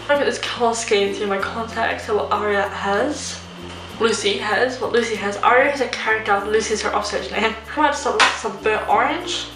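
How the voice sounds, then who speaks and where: A young woman with a fairly high voice talks through her makeup casually, rambling with frequent ums. She sounds a little nasal, as if coming down with a cold. The room is echoey, with music playing behind her. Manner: chatty, rambling, many ums. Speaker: beauty vlogger. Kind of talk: casual makeup tutorial chat. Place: room at home. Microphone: phone camera. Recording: roomy home recording with music. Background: soft background music.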